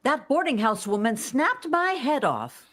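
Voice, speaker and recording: A woman's voice: high voice, big pitch swings, a little slow, fairly bright tone, full character voice. A woman playing an obliging hospital assistant in a vintage-style radio drama, deferential and helpful.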